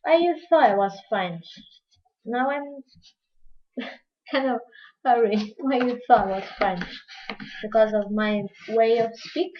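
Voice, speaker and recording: A woman's voice: fairly high pitch, slow pace, pauses often, a little hiss or hum. Portuguese-accented woman on a dull livestream. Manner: chatty, answers viewer questions while working. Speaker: crafting tutorial host. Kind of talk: live-streamed craft demonstration.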